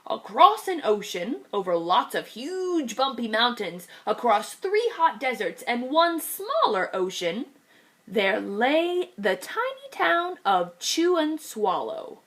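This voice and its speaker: Teacher, female, bright higher voice, very animated storybook read-aloud for little kids. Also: slow. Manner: lively, expressive reading to young children. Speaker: kindergarten teacher.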